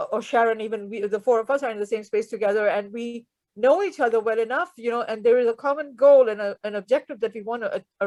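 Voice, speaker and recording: A woman from Pakistan, an Urdu speaker, speaking reflectively on a video call with halting restarts. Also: fairly high pitch, no echo. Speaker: workshop participant. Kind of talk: earnest discussion.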